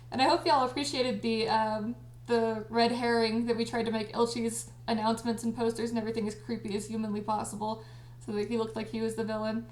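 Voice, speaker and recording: A woman with a fairly high voice chats casually and excitably, giggling as she goes. The sound is roomy and echoey, like a group voice chat. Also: a little fast. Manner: giggly, excitable, frequently breaking into laughter. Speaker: audio drama creator and cast party host. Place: indoors on a group livestream call.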